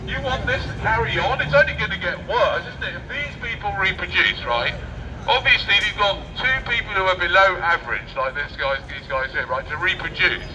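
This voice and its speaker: A high-pitched man argues through a megaphone on a noisy street. He rambles provocatively and circles back to the same questions, heard some way from the microphone. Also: animated, a little fast, highs cut off. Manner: rambling, argumentative, repetitive questioning. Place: outdoors on a busy city street at night. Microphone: far camera. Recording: distant, noisy city street recording.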